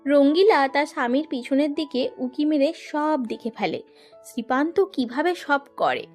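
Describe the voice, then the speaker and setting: High-pitched woman storyteller. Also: animated, normal speed, soft background music. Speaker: narrator. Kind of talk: scripted children's story narration.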